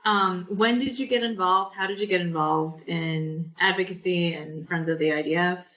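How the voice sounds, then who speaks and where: A woman's voice: fairly low pitch, normal speed, pushed and bright. A woman co-hosting a podcast, putting casual questions to a guest. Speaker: podcast co-host. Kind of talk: casual interviewing.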